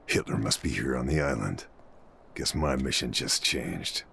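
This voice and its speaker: Very deep, gravelly sniper commando. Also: male voice, lively intonation, unhurried, pushed and bright, a little hiss or hum, exaggerated voice. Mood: focused. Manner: terse, understated, muttering to himself. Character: gruff, cool-headed male sniper commando on a secret mission. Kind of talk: video game cutscene acting and gameplay monologue.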